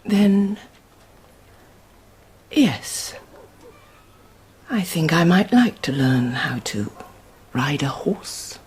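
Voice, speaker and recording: A woman's voice: deep voice, very animated, drawn-out words, long pauses, darkish tone, husky, heavily acted. An actress playing a proud, intelligent, outspoken young Roman noblewoman in a radio drama, poised and pointed. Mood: proud, guarded.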